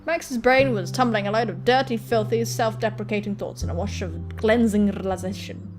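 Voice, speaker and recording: A woman reading a visual novel aloud as expressive story narration for a gaming video. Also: mid-range voice, moderate pace. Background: music, guitar.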